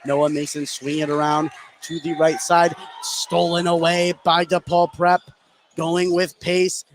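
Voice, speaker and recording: A man's voice: fairly high pitch, level tone, unhurried. A man working as a play-by-play announcer, calling a live basketball game in clipped, present-tense phrases that follow the action. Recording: clear gym noise behind the commentary. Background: gymnasium game ambience.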